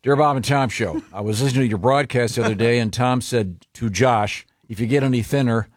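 A man hosting a morning radio show, reading listener letters with halting fillers. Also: mid-range voice, dry recording, studio quality. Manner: halting, reads with many uh fillers.

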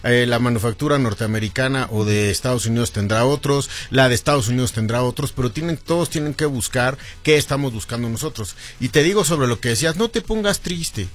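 A man's voice: mid-range voice, fairly quick, hardly any pauses. A man, an automotive commentator co-hosting a car talk show, laying out his arguments with examples. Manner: explanatory, lays out arguments with examples.

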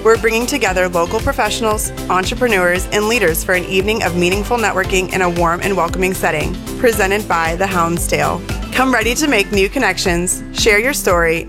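A woman reading a scripted radio ad in an inviting tone. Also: medium pitch. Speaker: commercial announcer.

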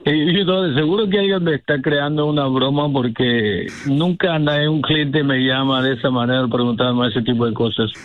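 A man's voice: mid-range voice, normal speed, hardly any pauses, dry recording. A middle-aged man from Mexico talking politely and patiently on a phone call. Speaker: electrician, prank call victim. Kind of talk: phone conversation. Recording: dull, band-limited phone line on radio.

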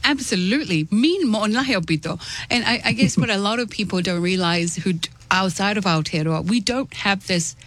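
A woman's voice: mid-range voice, brisk, few pauses, a bit bright, no echo. A woman hosting a radio interview, upbeat and mixing in Pacific-language greetings. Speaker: radio show host.

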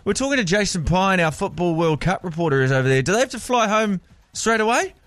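A man's voice: high-pitched, animated, fast, fairly bright tone, no echo. A man co-hosting morning radio, dry and teasing. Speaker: radio breakfast co-host. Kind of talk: casual morning radio banter.

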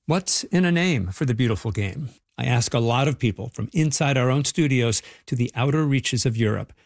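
Male reporter, gravelly voice, breezy, dry close sound. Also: medium pitch, animated, normal speed, no room sound. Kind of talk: scripted radio report.

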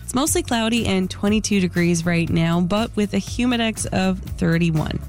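A woman's voice: medium pitch, normal speed, darkish tone, soft background music. A Canadian woman hosting a morning radio show, slightly sniffly from a cold, reading from a script. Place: radio studio.

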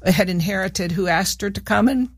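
A woman's voice: mid-range voice, moderate pace, husky, no echo. An older woman from Peru, a radio show guest, chatting casually and laughing as she reminisces, often restarting her sentences.

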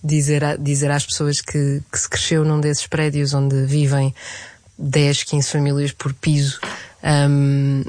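A woman from Amadora with a European Portuguese accent, an author answering interview questions thoughtfully, pausing often and filling gaps with soft hums. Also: deep voice, monotone. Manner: thoughtful, hesitant, many pauses and fillers. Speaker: book author, guest.

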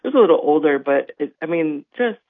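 A man with a slightly raised, somewhat hoarse voice tells stories in a radio interview, leaning on fillers and checking in with "right?". The sound is dry and thin, like a phone line. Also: fairly high pitch, expressive, quick pace, no room sound. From North Dakota. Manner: warm storytelling with many fillers and "right?". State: voice a little hoarse. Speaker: guest. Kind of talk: radio interview, casual talk.

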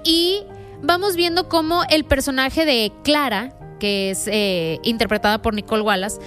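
A high-pitched female voice with a Mexican accent talks through a series review in a chatty, explanatory way. She sounds like a panelist in an informal conversation, with faint music underneath. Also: fairly even intonation, unhurried, fairly bright tone. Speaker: entertainment review show panelist. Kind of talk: casual series review chat.

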